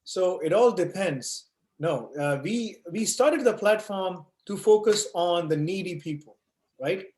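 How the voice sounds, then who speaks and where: A man's voice: high-pitched, lively intonation, unhurried, darkish tone. A man running an online course session, explaining ideas with everyday analogies and checking in with "right?" and "you know". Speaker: online course instructor answering questions. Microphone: video call. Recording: echoey room.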